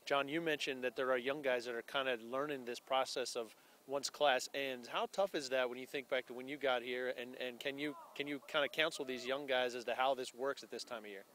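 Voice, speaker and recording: Bright-voiced man asking postgame questions. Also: mid-range voice, steady tone, brisk, slight background noise. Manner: conversational, rambling questions with restarts. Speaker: postgame interviewer. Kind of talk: postgame interview. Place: outdoors beside a baseball field.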